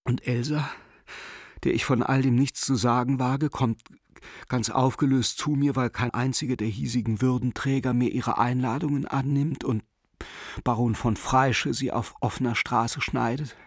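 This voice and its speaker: An actor in a radio drama playing a frightened, desperate German man who pleads with an old friend by letter, halting and imploring, at times dropping to a whisper. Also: medium pitch, fairly even intonation, no room sound, clean studio sound, natural voice. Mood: agitated, accusing.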